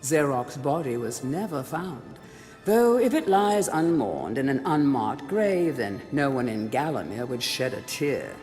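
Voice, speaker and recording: Grave fantasy chronicler, solemn theatrical storybook narration, mid-pitched, long reverb, music underneath. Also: male voice, big pitch swings, unhurried, darkish tone. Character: grave male chronicler of an old fantasy kingdom.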